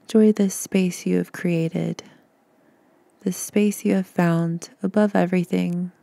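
Slow, low-voiced woman guiding a meditation. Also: fairly even intonation, creaky, no room sound. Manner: soothing, gentle, unhurried with long pauses. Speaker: podcast host and meditation guide. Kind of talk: guided meditation narration.

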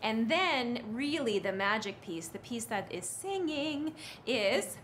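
A woman's voice: a little high, slow, slight background noise. A woman, presenting fashion on a live sales stream in a chatty, effusive way. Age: 30s. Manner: animated, effusive. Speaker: presenter and model on a fashion shopping livestream. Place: indoor studio with brick wall, standing back from camera.

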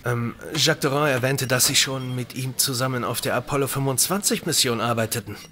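A man's voice: mid-range voice, a little fast, bright, forceful tone, natural voice. A man playing an authoritative geophysicist in a radio thriller, speaking in a matter-of-fact way. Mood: courteous, matter-of-fact. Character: authoritative male geophysicist, senior team member. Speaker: actor in an audio drama. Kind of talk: radio play dialogue.

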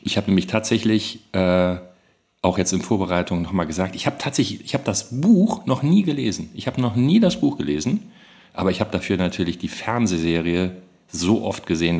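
A man's voice: low voice, expressive, brisk, a little mellow. A man chatting as a podcast host, emphatic, often repeating himself for stress. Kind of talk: casual podcast talk.

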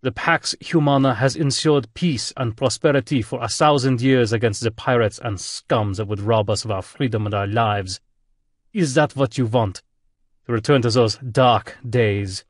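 A man voicing an imperial space admiral with a thick German accent, booming and imperious. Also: normal speed, dry recording, studio quality, natural voice. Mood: persuasive.

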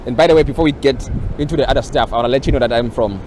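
A man's voice: mid-range voice, very fast, a little mellow. A man in his twenties presenting a casual vlog and doing playful, teasing interviews outdoors with a handheld mic. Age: 20s. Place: open beach, outdoors. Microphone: small handheld mic. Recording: outdoor wind and ambient noise.

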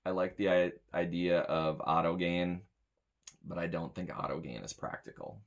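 A man's voice: low voice, steady tone, normal speed, lo-fi sound. An American man in his thirties giving a casual, rambling gear review as a sound tech, blunt and grumbling. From United States. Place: small indoor room with metal shelving.

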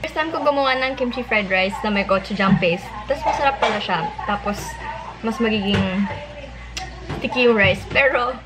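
A woman's voice: on the high side, brisk, heavy background noise. A woman in her twenties with a Filipino accent, chatting informally and playfully in a casual home cooking vlog. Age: 20s. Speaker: vlogger. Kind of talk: casual cooking vlog chat, mixing Tagalog and English. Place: home kitchen and living room, indoors. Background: background music.

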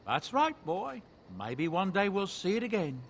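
Genial, animated dwarf merchant. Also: male voice, high voice, slight background noise, exaggerated voice. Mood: musing, proud. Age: middle-aged. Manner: folksy, chatty, unhurried storytelling. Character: genial middle-aged dwarf merchant, fond adoptive father. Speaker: merchant non-player character in a fantasy video game. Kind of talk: video game dialogue acting. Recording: game audio with clear background ambience.

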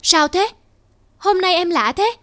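A man playing a devoted, hard-working husband in a cartoon drama, earnest and expressive. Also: very high-pitched, measured pace, dry recording, full character voice. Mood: shocked, hurt.

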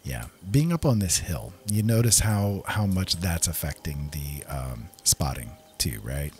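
An easygoing man with a low voice commentates casually on a game. He muses to himself as he plays, with prominent game music running behind him. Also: big pitch swings, a little slow, darkish tone. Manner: easygoing, musing, talks to himself. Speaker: gameplay host. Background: game music and sounds.

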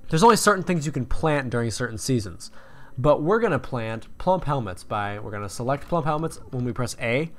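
A young man casually walking through a game tutorial, explaining step by step with fillers and restarts. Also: mid-range voice. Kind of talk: screen-recorded game tutorial.

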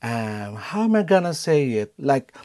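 A man's voice: mid-range voice, a little slow. A man in his thirties with a Filipino accent hosts a casual reaction vlog from his bedroom, gushing with frequent ums and exclamations. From Philippines. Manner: excitable, gushing, frequent "um" and "oh my God". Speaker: reaction video host. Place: bedroom at home.

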